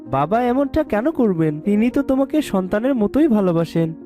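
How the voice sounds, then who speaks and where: Young husband for cartoon drama, female performer: dismissive, loyal son, mellow and expressive. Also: medium pitch, moderate pace, soft background music, natural voice. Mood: soothing, doubting. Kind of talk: dramatised cartoon story dialogue.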